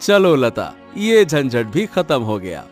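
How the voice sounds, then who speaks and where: A man's voice: mid-range voice, sing-song, slow, faint music behind. A man voicing a mild, forgiving householder in a cartoon moral story, with a patient, explaining manner. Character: mild, forgiving male householder and papaya grower.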